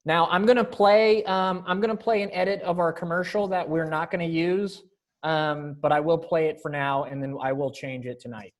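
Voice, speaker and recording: A man's voice: on the high side, steady tone, moderate pace. A man chatting casually as he hosts a rehearsal over a video call and sorts out the sound. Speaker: rehearsal host running sound and screen share. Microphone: computer microphone on a video call.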